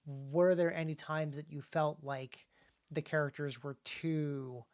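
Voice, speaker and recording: A man hosting a casual discussion about craft, speaking haltingly and often repeating words as he thinks. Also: on the high side, steady tone. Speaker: podcast host and author.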